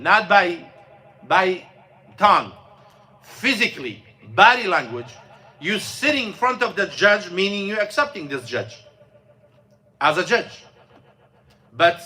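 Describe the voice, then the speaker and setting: A man, an imam, giving an informal mosque lesson on scripture, halting and searching for words, with non-native English grammar and frequent fillers. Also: sits high, pushed and bright. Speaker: imam teaching a class.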